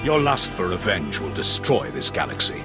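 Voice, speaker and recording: An actor voicing a solemn, honor-bound Sith warrior lord in a video game, speaking formally and with measured gravity. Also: male voice, deep voice, very animated, music in the background. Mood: stern, resolute. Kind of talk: dramatic video game acting.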